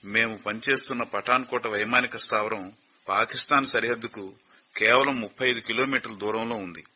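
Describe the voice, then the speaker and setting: An actor playing a grave male officer who breaks hard news gently over the phone in a radio play. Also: medium pitch, steady tone, slightly rough. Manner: measured, gentle, calls the girl "mother" fondly. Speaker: officer on a phone call, colleague of the father. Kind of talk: radio drama acting. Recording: radio broadcast.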